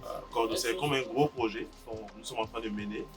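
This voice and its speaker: Agroeconomist, distant voice over music. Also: male voice, mid-range voice, steady tone, normal speed. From Côte d'Ivoire. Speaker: agroeconomist and project coordinator. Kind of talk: interview testimony. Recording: distant mic with clear music behind.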